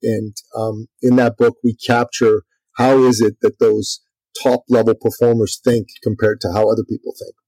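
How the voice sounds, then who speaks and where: A middle-aged man from Iran speaks in a low, gravelly, slightly husky voice with a dark, mellow tone. His delivery is flat and conversational, filled with ums and restarts. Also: moderate pace, dry recording, rough recording. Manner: talkative, many fillers and restarts. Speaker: podcast guest and author. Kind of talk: casual interview talk.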